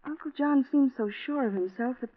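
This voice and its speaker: An actress playing a polite, solicitous young daughter in a genteel old radio drama style. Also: female voice, sits high, fairly quick. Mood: polite, curious. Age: young adult. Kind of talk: 1940s radio acting. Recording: tinny vintage radio recording.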